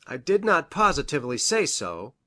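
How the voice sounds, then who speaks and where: A woman's voice: deep voice, big pitch swings, moderate pace, fairly bright tone, heavily acted. A woman voicing a grave, hesitant male country doctor in a storybook reading, formal and precise in manner. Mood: troubled, grave. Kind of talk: audiobook character reading.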